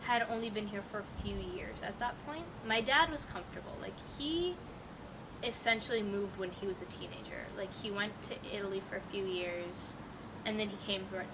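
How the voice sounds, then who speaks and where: A woman's voice: medium pitch, normal speed. A young American woman answering interview questions casually, rambling with lots of "like" fillers. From United States. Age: young adult. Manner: rambling, heavy use of "like" fillers. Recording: distant mic with loud traffic noise.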